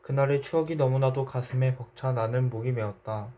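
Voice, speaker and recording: A man narrating a literary short story in a measured, reflective way. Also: medium pitch, fairly even intonation, warm tone.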